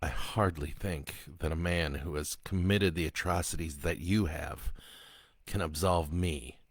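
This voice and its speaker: A man's voice: sits low, unhurried, dry recording, studio quality. A man voicing a grave, shaken priest. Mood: stern. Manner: grave, stern, restrained.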